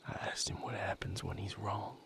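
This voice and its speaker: A man playing a weary, wounded vampire healer in an intimate audio roleplay, speaking in a breathy, gravelly, halting and earnest way. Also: fairly low pitch, steady tone, fairly bright tone. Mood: troubled, reflective. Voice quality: breathy, gravelly, half-whispered. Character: weary, wounded male vampire healer leaving his house.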